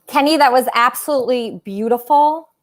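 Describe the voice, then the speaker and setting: A woman's voice: high-pitched, moderate pace. A woman hosting a casual livestream talk show, chatting with callers in a gushing, emphatic way.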